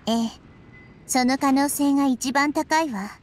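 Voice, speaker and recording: A woman voicing a gentle, wise, childlike girl deity in an anime video game, speaking in a measured, explanatory way. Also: high voice, heavy background noise. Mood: calm, thoughtful. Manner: measured, soft-mannered, explanatory.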